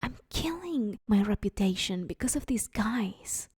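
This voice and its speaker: A woman's voice: medium pitch, animated, unhurried, a little mellow, breathy edge, no echo, clean studio sound. A woman playing a broke, sheepish but scheming villain in a conversational comedy audio roleplay, wheedling her neighbor in an awkward, hesitant way. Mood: defensive, aggrieved.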